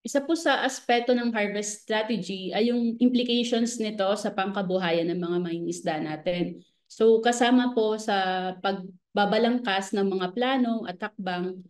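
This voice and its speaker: A woman's voice: mid-range voice, moderate pace. A woman with a Filipino accent speaking as an expert guest in a radio interview, politely explaining and mixing Filipino with English.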